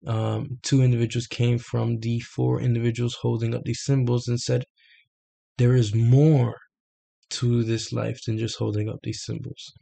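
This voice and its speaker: Brief: young man, dark mellow tone with gravel, halting unscripted explainer, webcam in a small bedroom. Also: medium pitch. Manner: hesitant, many fillers and restarts. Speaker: self-made explainer. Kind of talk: unscripted explanation over a screen share. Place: small bedroom with red lighting, indoors. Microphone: webcam at desk.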